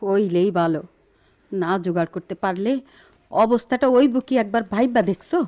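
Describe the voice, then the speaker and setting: A woman's voice: mid-range voice, moderate pace, darkish tone, lightly acted. A woman playing an anxious middle-aged village wife and mother of a bride, with a Bangladeshi rural dialect, in a radio drama. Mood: worried. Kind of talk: Bengali radio drama acting.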